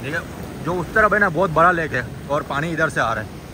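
A young man casually vlogging outdoors at a lake, excitedly pointing things out to viewers. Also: a little high. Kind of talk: casual vlog narration. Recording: noisy outdoor recording with rushing water.

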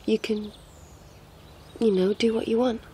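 A woman's voice: a little high, fairly even intonation, unhurried, noisy background, lightly acted. A woman in a radio drama playing a teasing, sympathetic hitch-hiker and con artist, blunt and coaxing. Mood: coaxing, seductive. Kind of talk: naturalistic radio drama acting.

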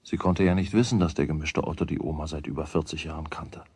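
An actor narrating a children's radio play as a wry storyteller with a husky voice. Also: male voice, very deep voice, fairly even intonation, fast, darkish tone, no room sound, heavily acted. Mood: wry, matter-of-fact.

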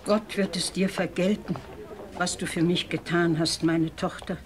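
A woman's voice: lowish voice, fairly even intonation, unhurried, over loud music, close to an everyday voice. An actress in a German audio drama playing a devout, steadfast old mother who speaks solemnly. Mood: tender, probing.

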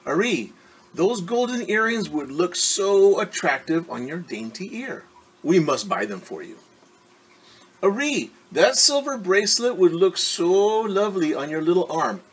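A man's voice: sits high, sing-song, a little slow, slightly rough, voice pushed up, full character voice, rough recording. A man voicing a doting, gushing parent in a storybook, coaxing a young daughter.

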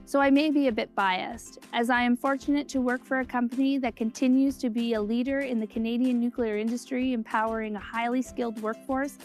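A woman's voice: fairly high pitch, steady tone, brisk, hardly any pauses, faint music behind. Upbeat woman narrating a promo video. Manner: upbeat, polished delivery.

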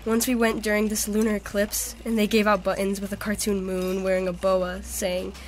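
A woman's voice: medium pitch, little pitch movement, moderate pace, lightly acted. An actress playing a wistful, bookish young woman who loves astronomy, in a natural audio drama.

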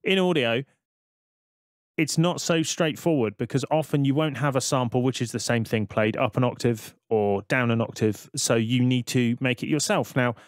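A man narrates a software tutorial in a casual, conversational way. His voice sits at a middle pitch and is recorded close and dry. He explains each step as he goes, like a tutor talking through a screen recording. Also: normal speed, dry recording.

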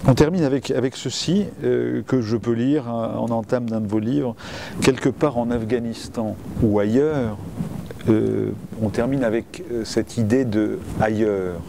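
A man's voice: mid-range voice, measured pace, creaky, background noise. A man in his fifties asking short interview questions indoors, with frequent hesitant fillers. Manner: brief prompts, hesitant fillers. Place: indoor room by a window.

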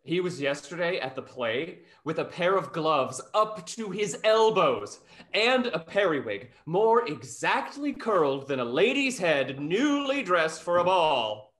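Man playing a high-pitched witty Restoration gentleman. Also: sing-song, a little slow. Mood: arch, teasing. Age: young adult. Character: witty, snarky gentleman friend of a London rake. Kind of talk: stage acting in a Restoration comedy over video call. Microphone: video call.

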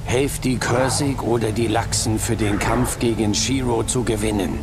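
A man playing a solemn, earnest adviser in a fantasy game, formal and measured, with loud ambience behind him. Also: mid-range voice, steady tone, over loud music, natural voice. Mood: earnest, guiding. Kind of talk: dubbed fantasy game cutscene acting.

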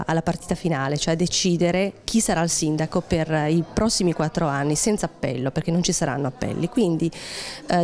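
Low-pitched woman, measured and hesitant. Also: a little mellow. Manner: measured, hesitant, drawn-out words with repetitions. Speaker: local party secretary being interviewed. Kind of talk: TV interview answers. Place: small indoor office. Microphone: handheld reporter microphone.

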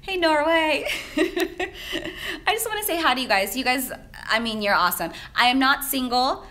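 A young woman with a bright, fairly high voice hosts a live question-and-answer stream. She talks in a chatty, upbeat way, with plenty of fillers and quick laughs. She films herself on a phone held at arm's length in an office. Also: lively intonation. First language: Vietnamese. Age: young adult. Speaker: menswear stylist answering viewer questions. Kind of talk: casual live Q&A. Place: high-rise office with large windows, indoors. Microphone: handheld phone at arm's length, shaky.

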